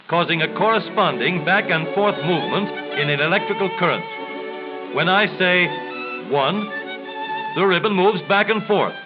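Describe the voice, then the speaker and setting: A man with a high-pitched voice narrates a 1930s educational film. He explains things in a formal, measured way. The soundtrack is old and muffled, with music playing clearly behind him.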